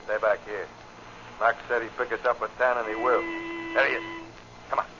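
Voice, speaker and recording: A man acting a low-voiced gangster henchman, terse and slangy. He is gruff and impatient, heard on a muffled, noisy old radio play recording. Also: little pitch movement, brisk, close to an everyday voice. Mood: gruff, wary. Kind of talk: 1940s radio drama acting.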